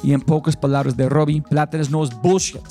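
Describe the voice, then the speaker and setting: A man's voice: on the high side, flat delivery, fairly quick, dark tone, soft background music. A man hosting a podcast, opening with an enthusiastic, emphatic intro and then interviewing a guest. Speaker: podcast host.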